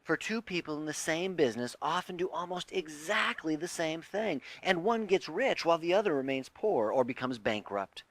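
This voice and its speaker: A man narrating a self-help audiobook in a deliberate, emphatic, instructive way. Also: a little high, expressive, a bit bright, dry recording. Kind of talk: scripted audiobook reading of a self-help text.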